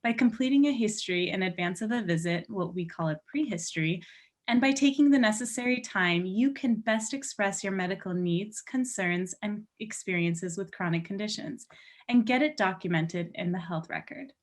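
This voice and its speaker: A woman's voice: mid-range voice, normal speed. A woman on a research panel giving scripted, explanatory answers as if reading them out.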